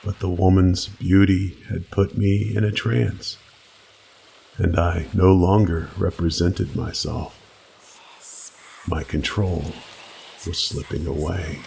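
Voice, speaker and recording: A man narrating a scripted first-person horror story with deliberate, dramatic pauses. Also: low-pitched, fairly even intonation, vocal fry, a little mellow, breathy edge, poor audio.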